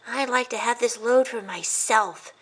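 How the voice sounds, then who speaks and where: A woman's voice: on the high side, measured pace, fairly bright tone, pitched above the performer's own voice, poor audio. A woman voicing a young boy mouse in a children's storybook, a sly, childlike schemer who sounds eager and self-justifying. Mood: greedy delight.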